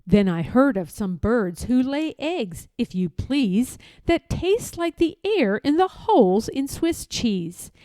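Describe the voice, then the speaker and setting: A woman reading a rhyming children's storybook aloud in an expressive, playful way, with deliberate and emphatic phrasing. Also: fairly high pitch, dry recording.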